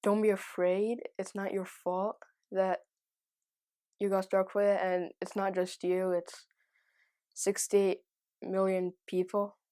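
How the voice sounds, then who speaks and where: Woman who stutters, mellow warm voice, pretty even, earnest. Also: mid-range voice, takes it slowly. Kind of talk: documentary interview.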